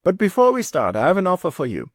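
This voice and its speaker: A man hosting a podcast interview, reading a lively intro and asking questions in a softer, hesitant way. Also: high-pitched, fast talker, dry recording, studio quality. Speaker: podcast host.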